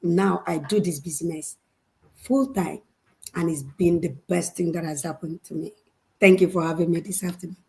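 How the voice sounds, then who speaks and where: Woman from Nigeria, low and measured. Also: steady tone, vocal fry, a little mellow, rough recording. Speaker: panelist. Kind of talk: video call panel talk.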